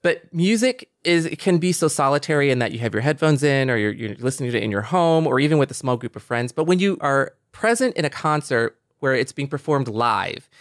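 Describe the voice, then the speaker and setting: A man's voice: medium pitch, dry recording. Male podcast co-host, mid-range voice, thoughtful and earnest, thinking aloud in a very dry space. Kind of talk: reflective podcast conversation.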